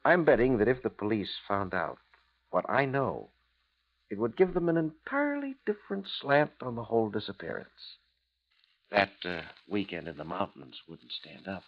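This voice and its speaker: A man's voice: lowish voice, very animated, normal speed. An actor playing a sly, needling American blackmailer in a 1950s radio drama, hesitating knowingly as he insinuates. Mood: smug, insinuating. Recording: dull, lo-fi 1950s radio recording.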